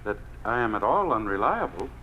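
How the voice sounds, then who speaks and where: A man's voice: on the low side, very animated, a little fast. An actor in a 1950s radio drama playing an anxious, ingratiating male subordinate who speaks hesitantly and carefully. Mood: anxious, apologetic. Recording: muffled, noisy old radio recording.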